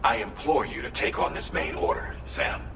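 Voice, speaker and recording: Serious formal guy briefing a mission in a game, lowish voice, roomy echo, measured delivery. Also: expressive. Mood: grave, urgent. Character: grave, formal male mission dispatcher briefing a courier. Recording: echoey, distant, noisy game audio.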